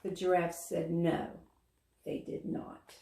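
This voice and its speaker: Low, creaky woman storyteller in echoey room. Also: monotone, slow pace, dark tone, slight background noise. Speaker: volunteer storyteller. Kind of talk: read-aloud children's story narration.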